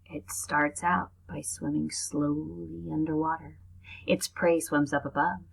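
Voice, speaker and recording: A woman's voice: sits low, little pitch movement, unhurried, gravelly, husky, rough recording. A woman reading aloud to young children like a teacher, explaining things and adding asides as she goes.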